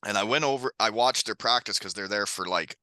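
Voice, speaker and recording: A chatty man hosts the conversation, swapping stories and laughing easily. His voice is very bright and forceful. The sound is dry and close. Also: lowish voice, fairly quick, dry recording. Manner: chatty storyteller, laughs often. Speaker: podcast host, hockey coach. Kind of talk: casual chat.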